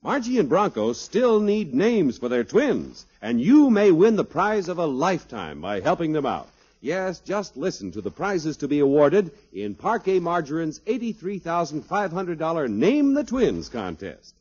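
A man's voice: on the high side, huge pitch swings, normal speed, a little mellow, husky. A man with an American accent announcing a 1950s radio advertisement. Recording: dull vintage 1950s radio recording.

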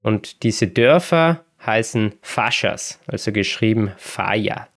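A man hosting a podcast, telling travel stories in a relaxed, chatty way with small hesitations. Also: on the low side, slow pace. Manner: relaxed storytelling with occasional laughs and hesitations. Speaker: podcast host. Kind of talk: casual podcast chat.

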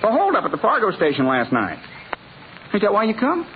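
A chatty, boastful blacksmith of the Old West, with a high American voice that bounces up and down. He talks in a folksy, rustic way, friendly and full of himself, on a tinny 1950s radio western recording. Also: huge pitch swings, fairly quick, a little hiss or hum. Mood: gossipy. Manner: folksy, garrulous, rustic Western drawl and grammar.

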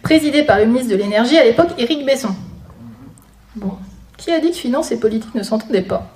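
A woman with a fairly high voice gives an informal lecture, dropping dry, sarcastic asides as she goes. She is picked up from a little distance in a very echoey room. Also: expressive, fast talker, a little mellow. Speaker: guest lecturer, author. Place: conference room before an audience.

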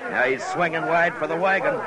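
A man's voice: fairly high pitch, brisk, a loud crowd, close to an everyday voice. A man playing an easygoing U.S. Marshal with a wry, patient manner in a 1950s radio western. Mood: excited. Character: easygoing, amused male U.S. Marshal of the Old West. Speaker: lead actor in radio western. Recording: noisy old radio recording.

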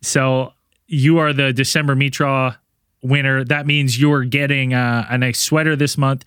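A man's voice: medium pitch, little pitch movement, unhurried, a bit bright, clean tone, no echo, clean studio sound. A man co-hosting a podcast, talking in a loose, rambling way and laughing often while he announces giveaways and tells stories. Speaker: podcast co-host. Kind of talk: casual chat.

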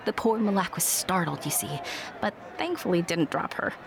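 A woman's voice: lowish voice, expressive, normal speed, vocal fry, noisy background. A woman giving scripted storybook narration, addressing the listener as a storyteller. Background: music.

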